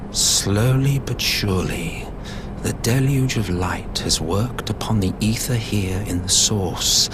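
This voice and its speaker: A languid, sardonic immortal villain. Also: male voice, lowish voice, low-quality recording. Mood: sinister musing. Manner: languid, sardonic, drawn-out theatrical delivery. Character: ancient immortal schemer, smug, mocking, theatrical former emperor. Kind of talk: video game voice acting, grandiloquent villain dialogue. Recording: music behind the voice. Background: game music.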